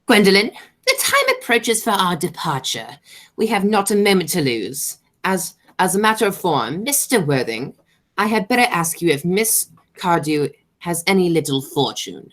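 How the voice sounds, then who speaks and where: Radio-play aristocrat played by a woman: snobbish, money-minded aunt, pompous delivery on the low side, wildly varied intonation. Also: sing-song, normal speed, a bit bright. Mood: haughty, brisk. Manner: pompous, declamatory, stumbles over words. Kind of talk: stage comedy as amateur radio play.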